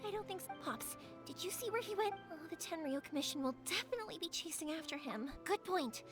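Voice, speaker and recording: A bright young fireworks maker from an anime game, with a very high, expressive voice. She is caring and breezy, and music plays behind her. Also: fast. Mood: alarmed.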